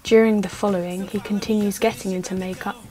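A woman acting as narrator of a radio play, reading scripted stage directions in a measured, matter-of-fact way. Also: mid-range voice, fairly even intonation, mellow, faint music behind, close to an everyday voice, low-quality recording.

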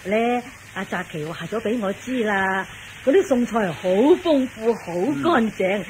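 An actress playing a cheerful, grateful middle-aged kitchen helper in a radio drama, eager to please. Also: female voice, mid-range voice, lively intonation, measured pace, lightly acted. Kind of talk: Cantonese radio drama acting. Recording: faintly noisy radio drama recording.

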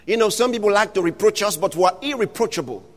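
A man preaching an impassioned sermon, shouting scripture with long drawn-out phrases. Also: high-pitched, animated, big-room sound.

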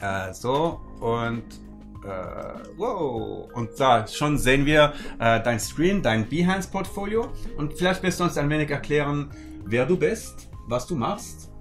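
A man in his forties hosting a livestream from a dark studio, speaking hesitant German full of fillers and restarts. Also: fairly high pitch, expressive, a little slow, faint music behind. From United States. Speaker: host.

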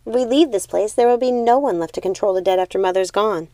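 Eldest witch sister female audiobook reader, composed, resolute, mellow voice, dry close sound. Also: medium pitch, dry recording, close to an everyday voice. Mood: calm, resolute. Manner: calm, firm, deliberate.

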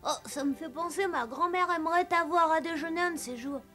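A woman's voice: very high-pitched, a little fast, bright, forceful tone, faint music behind. A woman voicing a friendly, casual, plain-spoken young goatherd boy in a French cartoon dub. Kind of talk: French animated series dub.

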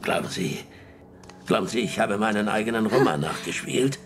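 A woman's voice: a bass voice, lively intonation, moderate pace. An actress playing a prickly, sarcastic female crime novelist in a radio drama. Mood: wry. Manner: sarcastic, dismissive. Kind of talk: German radio drama acting.

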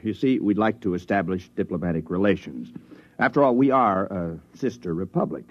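An actor playing an earnest, persistent self-styled diplomat, polite and persuasive, in a 1950s radio drama. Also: male voice, low-pitched, fairly quick, dry recording. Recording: muffled old-time radio recording.

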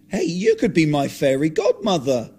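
A man's voice: on the high side, wildly swooping pitch, moderate pace, warm tone, slightly rough, exaggerated voice. A man voicing a blunt, scheming male friend who talks in a brash, teasing way.

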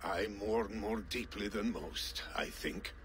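A man playing a sorrowful, subdued Viking in a video game, speaking haltingly. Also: fairly low pitch, fairly bright tone, slight background noise. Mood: grieving. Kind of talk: dramatic video game acting.

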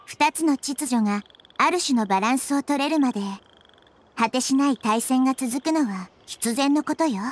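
A woman voicing a wise, gentle, childlike nature goddess in an anime game, explaining things with composure. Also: high voice, moderate pace. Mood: calm explaining. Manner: composed, explanatory, feminine phrasing. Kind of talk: Japanese anime game voice acting.

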